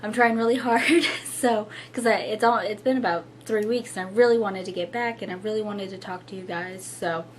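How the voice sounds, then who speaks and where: Young woman, hoarse and husky, mid-range voice, casual chatty host talking to camera. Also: medium pitch, moderate pace, few pauses, slight background noise. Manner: chatty, apologetic, with frequent fillers and little laughs. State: hoarse, losing her voice. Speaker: knitting podcast host.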